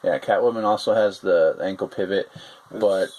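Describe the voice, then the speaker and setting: A man with a very low voice talks casually through a toy review, rambling and hesitating, stopping often on fillers. The room has a faint steady hiss underneath him. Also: moderate pace, low-quality recording.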